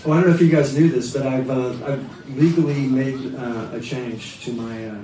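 A man's voice: mid-range voice, steady tone, moderate pace, warm tone. A man bantering on stage at a live music club with dry, mock-formal jokes, heard through the house sound. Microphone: stage mic heard from the audience. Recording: echoey club, from far off, crowd noise.

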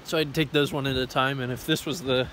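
A man's voice: medium pitch, fairly even intonation, fairly quick, a bit bright. Casual young man vlogging outdoors, noisy audio. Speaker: hiking vlogger. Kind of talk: casual rambling vlog commentary while walking. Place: outdoors on a forest railroad trail. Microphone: phone camera at arm's length. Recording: noisy outdoor handheld recording.

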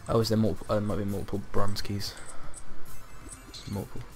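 A man's voice: fairly low pitch, monotone, quick pace, darkish tone. A man chatting casually as he plays a co-op video game. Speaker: gamer in a co-op let's play. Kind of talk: casual gameplay chat. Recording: game music and keyboard clatter behind. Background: game music, keyboard typing.

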